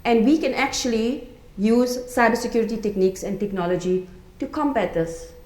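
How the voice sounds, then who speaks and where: A woman's voice: on the high side, moderate pace, a little mellow. South African woman in her forties, roomy hall. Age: 40s. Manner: earnest, with frequent fillers and restarts. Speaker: conference speaker. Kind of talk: conference talk. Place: conference room. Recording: echoey conference room.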